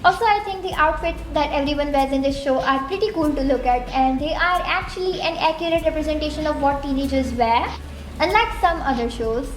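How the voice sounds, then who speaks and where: A woman's voice: high-pitched, brisk, a little hiss or hum. A young woman from India with an Indian accent, giving a candid, chatty TV show review. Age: young adult. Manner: candid, chatty, lots of "I think". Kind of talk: casual opinionated review. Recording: echoey room.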